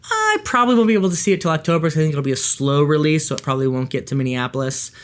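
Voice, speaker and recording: An American man speaks in a voice pitched a little higher than most. He talks like a vlogger chatting to regular viewers, informal and keen. He often doubles back to correct or qualify what he has just said. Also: sing-song, rapid-fire. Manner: enthusiastic, informal, self-correcting. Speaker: book and film vlogger. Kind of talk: casual chat to viewers.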